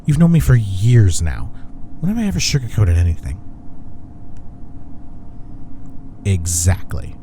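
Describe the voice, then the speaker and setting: A man acting as a cocky, sharp-tongued, flirtatious office rival in an intimate audio roleplay, with a smug, sarcastic way of talking. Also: low voice, big pitch swings, noisy background. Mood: exasperated, blunt. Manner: smug, sarcastic, drawn-out taunting.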